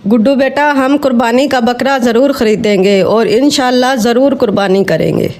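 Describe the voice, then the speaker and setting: Very high-pitched gentle mother played by a man. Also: normal speed, slight background noise. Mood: reassuring. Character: gentle, reassuring wife and mother. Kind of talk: cartoon story dialogue.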